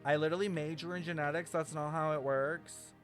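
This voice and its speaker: A man's voice: fairly high pitch, steady tone, brisk, fairly bright tone. A young man livestreaming a game with casual, sarcastic, slangy and profane commentary over music.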